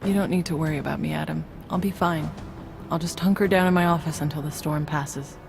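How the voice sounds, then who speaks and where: An actress playing a calm, self-assured woman in a video game, unworried and dryly humorous. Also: low-pitched, brisk, vocal fry. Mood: reassuring. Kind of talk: cinematic video game acting. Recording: echoing hall, music behind.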